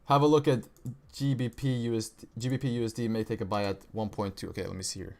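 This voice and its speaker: A man's voice: on the low side, fairly even intonation, fast talker, darkish tone. Young man with an American-sounding accent. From Kosovo. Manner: casual, slangy, says "bro" and "right?" often. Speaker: forex trading streamer. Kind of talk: casual live-stream chart commentary.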